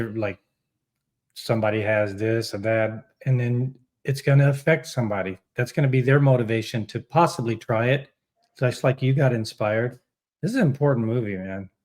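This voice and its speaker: Man, average pitch, casual interview host, halting delivery, rephrases as he goes. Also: a little mellow. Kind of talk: casual chat.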